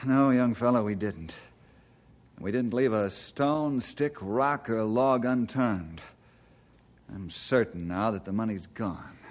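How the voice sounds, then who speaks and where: A man playing a steadfast, fair-minded ranger in an old-time radio western, speaking earnestly and deliberately. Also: on the low side. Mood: grave, reassuring.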